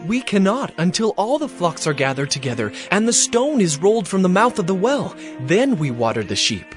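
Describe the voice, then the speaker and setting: A man's voice: high-pitched, sing-song, brisk, soft background music. An actor playing a plain-spoken male shepherd in dramatized scripture, with emphatic delivery. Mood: matter-of-fact.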